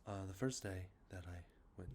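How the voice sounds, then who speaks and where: A man with a congested, sniffly nose, talking softly and rambling, with many fillers, as an ASMR host showing off games he collected. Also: deep voice, moderate pace, gravelly, a little hiss or hum. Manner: rambling, many ums and uhs, self-correcting. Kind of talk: soft-spoken show-and-tell about collected video games. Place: outdoors with traffic nearby.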